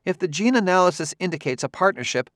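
A man reading an audiobook, voicing a brisk, matter-of-fact woman who runs a children's farm, easily exasperated. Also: high-pitched, animated, fairly bright tone, no echo, clean studio sound, pitched above the performer's own voice. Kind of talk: audiobook character voice.

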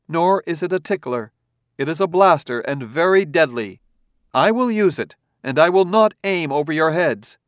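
A cool humanoid robot voiced by a man gives orders in crisp, measured sentences, neither harsh nor angry, with steady authority. The voice sits a little higher and louder than the reader's own, and the sound is dry and close. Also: dry recording, close to an everyday voice. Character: calm, commanding humanoid male robot.

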